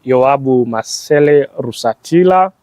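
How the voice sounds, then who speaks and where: A young religious brother and law student from Tanzania, explaining church teaching on marriage in short, halting phrases with many pauses and fillers. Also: male voice, mid-range voice, lively intonation, a little mellow, dry recording. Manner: slow, halting delivery in short phrases with frequent "uh".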